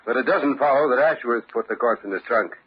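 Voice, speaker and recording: An actor playing a tough, shrewd homicide lieutenant in an old-time radio crime drama, with a brisk, assured manner. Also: male voice, medium pitch, slightly rough, lightly acted. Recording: dull, lo-fi old radio recording, faint noise.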